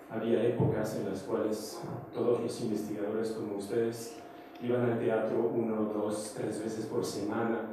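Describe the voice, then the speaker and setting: A man giving a semi-formal, unscripted conference talk as an invited speaker, reflective, with hesitant pauses. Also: medium pitch. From outside Mexico. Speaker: theatre company director, invited speaker. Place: large echoey conference room. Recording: very echoey hall, distant mic, faint noise.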